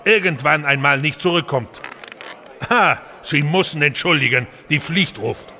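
Sharp, gravelly, brusque innkeeper. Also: male voice, on the high side, measured pace, full character voice. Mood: irritated. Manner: curt, impatient, peppered with Italian words. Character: brusque, busy Italian innkeeper running a trattoria. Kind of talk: audio drama acting.

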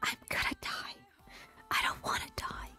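A woman's voice: unhurried, bright, forceful tone, soft background music. A woman streaming a game, whispering ASMR-style while dramatically complaining about her suffering. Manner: dramatically complaining, self-pitying. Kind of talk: live gameplay stream commentary.

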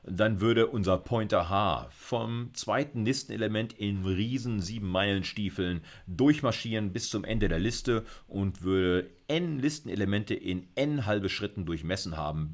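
A middle-aged man with a low-pitched voice explains material in an informal university lecture. He talks conversationally, thinking out loud and asking himself questions before answering them. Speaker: university computer science lecturer.

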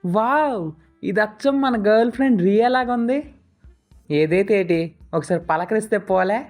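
A woman voicing a cheeky, flirtatious male pet dog with cocky, rustic speech in an animated story. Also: medium pitch, normal speed, soft background music, natural voice. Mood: flirty. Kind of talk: animated moral story voice acting.

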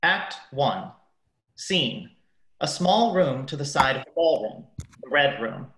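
A man narrating a scripted radio play in a measured, announcing manner. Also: mid-range voice, sing-song, a bit bright. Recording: echoey room.

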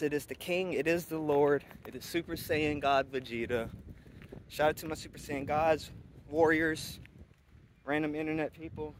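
Young male vlogger, boastful motivational talk, recorded outdoors on an earbud mic with faint traffic. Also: fairly high pitch. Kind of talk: casual walk-and-talk vlog. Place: outdoors on a sunny street by a college campus. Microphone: earphone mic, camera held at arm's length.

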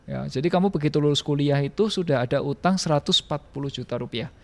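A man's voice: a little high, quick pace. A man in his thirties with an Indonesian accent, explaining things emphatically in a casual podcast interview, often with rhetorical questions. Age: 30s. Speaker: podcast guest, financial planning business owner. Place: small office room with bookshelves. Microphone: close podcast microphone on a stand.

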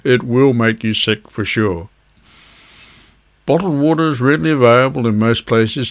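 A man in his seventies giving an instructional talk to camera in a measured, conversational, avuncular way. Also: on the low side, creaky. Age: 70s. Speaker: video host, ham radio hobbyist. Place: indoors at a desk, virtual backdrop.

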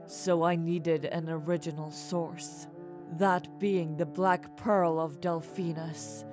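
Woman as a low, deliberate villainous scientist mother. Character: remorseful mad scientist mother, female sea creature. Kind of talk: dramatic comic dub voice acting. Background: faint music.

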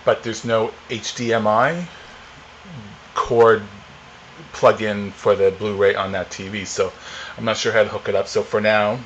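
Forties male vlogger, low monotone, loose rambling delivery, home camera recording with faint hiss. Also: a little slow, pauses often, highs cut off. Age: 40s. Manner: rambling, many fillers and restarts. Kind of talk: casual unboxing chat. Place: home living room, indoors.

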